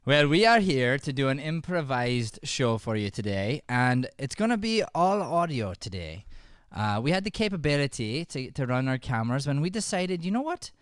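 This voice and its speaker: Male improv host, animated delivery, smooth voice, chatty and encouraging. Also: mid-range voice, moderate pace, no room sound, studio quality. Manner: chatty, encouraging audience address. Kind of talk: informal live show introduction. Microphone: podcast microphone.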